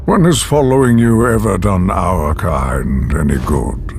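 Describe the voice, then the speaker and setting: An actor playing a proud, menacing older mutant supervillain in a video game, speaking in a haughty, theatrical way. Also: male voice, low voice, animated, unhurried. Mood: cold contempt. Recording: game cutscene with noise underneath.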